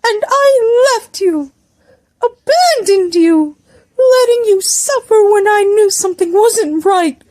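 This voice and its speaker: Woman playing a high, shaky, tearful young man apologizing. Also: lively intonation, a little mellow, no echo, exaggerated voice. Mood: tearful remorse. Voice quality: shaky, cracking voice. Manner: halting, wailing, emotional. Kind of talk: amateur dramatic story reading.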